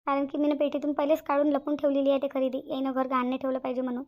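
A woman plays a young village bride-to-be in a cartoon, anxious and stubborn. Her high, quick voice frets in a rural Maharashtrian accent, on a dull soundtrack. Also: monotone, natural voice. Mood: defiant. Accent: rural Maharashtrian Marathi. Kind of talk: cartoon comedy dialogue.

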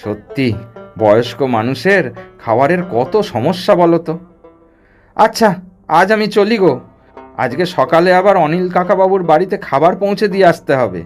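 Actor as earnest village eatery man, very animated. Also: fairly high pitch, normal speed, long pauses, faint music behind, natural voice. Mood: concerned. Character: kind, dutiful village food-hotel owner, a man. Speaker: cartoon voice actor. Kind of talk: Bengali cartoon dubbing.

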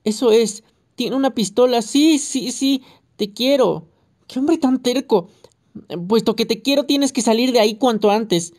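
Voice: lively intonation, moderate pace, lightly acted. A reader voicing a hysterical young mother who shrieks and scolds into a phone in an audiobook. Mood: shrill scolding. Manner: shrill, yelling, scolding.

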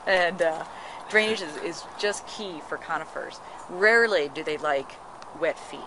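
A woman's voice: fairly low pitch, a little slow. A woman working as a nursery guide, explaining plants in an informative, enthusiastic walk-and-talk outdoors in a display garden. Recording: outdoor noise on camera mic.

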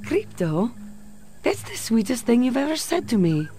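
A woman's voice: fairly high pitch, sing-song, moderate pace, warm tone, soft background music. A woman playing a cool, seductive Soviet secret agent in a video game, with a Russian accent. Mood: softened. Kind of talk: video game cutscene acting.

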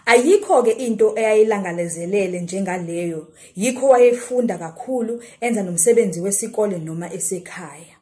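A middle-aged South African Zulu woman tells a children's story in a medium-pitched voice. She reads expressively and speaks directly to small children, recorded on a phone in a room at home. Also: normal speed, warm tone. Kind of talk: expressive read-aloud storytelling for young children.

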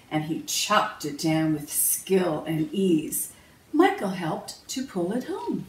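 A woman narrates a children's storybook slowly, with lively, swinging intonation. Her delivery is measured and expressive. The recording is roomy, picked up at some distance from the microphone. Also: mid-range voice. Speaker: author reading own picture book aloud. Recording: roomy, distant mic, faint noise.

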